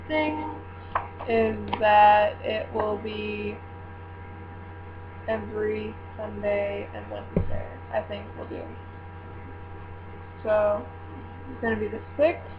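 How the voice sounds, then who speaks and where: A teenage girl chatting casually to her viewers, rambling with many fillers and correcting herself as she goes. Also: medium pitch. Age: teens. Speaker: vlogger running a book club. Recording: tinny lo-fi with steady mains hum.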